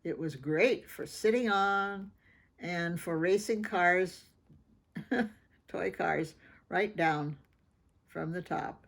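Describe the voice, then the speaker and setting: A woman's voice: lowish voice, extremely slow, gravelly, long pauses. A woman reading a children's story aloud expressively, adding informal asides about the pictures. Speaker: children's picture book reader.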